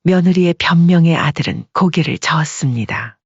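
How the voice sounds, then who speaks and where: A woman narrates a scripted family drama as an audiobook. Her voice is husky and mid-pitched. The recording is dry and slightly muffled. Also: lively intonation, moderate pace, no room sound. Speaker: story narrator. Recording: dull lo-fi audio.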